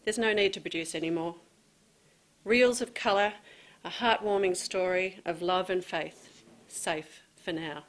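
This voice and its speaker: A woman's voice: on the low side, measured pace. A woman reading a prose poem as a writer presenting her work.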